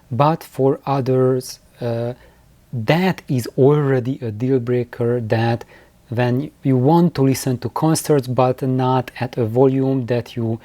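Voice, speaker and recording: A man with a soft-edged, mellow voice gives an informal how-to explanation in very dry, close sound. He speaks off the cuff in a halting way, repeating words and restarting phrases as he goes. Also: medium pitch, dry recording. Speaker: host of DIY loudspeaker building videos.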